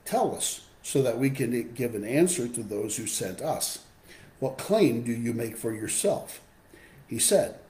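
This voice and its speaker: A man in his sixties with a hoarse voice from a cold, teaching an adult Bible class on a webcam and reading scripture aloud. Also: mid-range voice, dark tone, echoey room, low-quality recording. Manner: explanatory, reads scripture aloud. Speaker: Sunday school teacher. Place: home room with window. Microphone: webcam video call.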